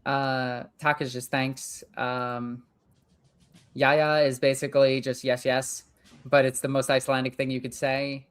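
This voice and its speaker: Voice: mid-range voice, little pitch movement, a little slow, smooth. Chatty gamer rambling while playing. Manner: chatty, rambling, swears casually. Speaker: gaming streamer. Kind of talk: casual chat while playing a strategy game.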